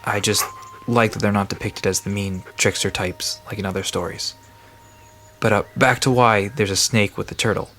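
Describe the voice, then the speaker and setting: A man's voice: on the low side, fairly even intonation, normal speed, fairly bright tone, soft background music. A man playing a friendly, nerdy guide to mythical creatures in an audio drama, explaining lore eagerly with hesitant asides. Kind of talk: conversational audio drama dialogue.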